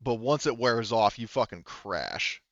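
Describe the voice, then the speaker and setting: A man's voice: mid-range voice, big pitch swings, a little slow, fairly bright tone, dry recording, lo-fi sound. A man running a tabletop game as game master, explaining things casually and off the cuff, with loose qualifications. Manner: hedging, explanatory, casual swearing.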